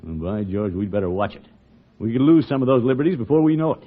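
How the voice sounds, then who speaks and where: Guy playing a folksy self-important american uncle, middle-aged, speechy, swingy pitch, thin old radio sound. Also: animated, quick pace, lightly acted. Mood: earnest, oratorical. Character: pompous middle-aged small-town uncle, folksy and proud. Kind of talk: 1950s radio comedy acting. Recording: tinny old radio broadcast, muffled.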